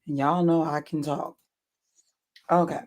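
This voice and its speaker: Hoarse streamer rambling to chat, lots of ums and little laughs, fixing their own words as they go. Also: little pitch movement, a little slow, darkish tone. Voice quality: deep, worn voice. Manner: rambling, self-correcting, chuckling often, many ums. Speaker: livestream host. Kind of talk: casual livestream chat to viewers.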